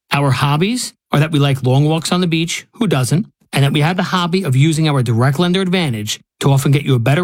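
A man's voice: a little high, no echo. A man reading a scripted radio ad in a playful dating-profile tone. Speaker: ad reader.

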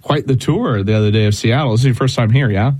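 A man's voice: medium pitch, fast, darkish tone. A young male evangelist from Southern California hosts a local faith radio show. He speaks in an ordinary mid-range voice, upbeat and chatty, laughing easily between questions. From Southern California, USA. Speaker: host, evangelist. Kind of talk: casual radio chat.

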